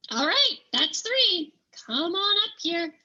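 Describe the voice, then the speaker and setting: A woman playing a brash, high-energy burlesque host working the crowd in a script table read. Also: big pitch swings, slow pace, pushed and bright, lo-fi sound, full character voice. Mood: commanding, upbeat. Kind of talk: stage emcee patter in a table read.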